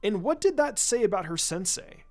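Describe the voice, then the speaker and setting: A woman narrates a story over background music. Her pitch moves around a lot, giving the scripted reading an animated, expressive shape. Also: normal speed. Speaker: fan fiction reader.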